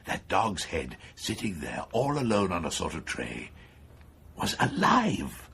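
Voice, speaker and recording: An actor playing an eager, persuasive neurosurgeon with a macabre obsession in a radio horror drama, speaking insistently and clinically with a British accent. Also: male voice, low-pitched, huge pitch swings, unhurried, vocal fry, a bit bright, heavily acted. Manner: insistent, enthusiastic, coolly clinical lecturing. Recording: faint background noise.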